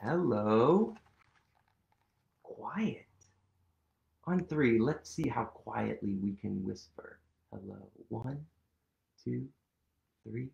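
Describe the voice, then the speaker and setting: A man in his thirties reading a picture book aloud to children, animated and playful, leading them in actions, recorded on a webcam in a small room. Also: medium pitch, drawn-out words, creaky, long pauses, dull audio. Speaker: storytime reader.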